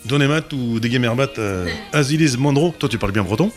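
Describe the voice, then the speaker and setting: A man's voice: medium pitch, expressive, brisk, soft background music. A man hosting a radio program, introducing a guest and interviewing her in a chatty, conversational way.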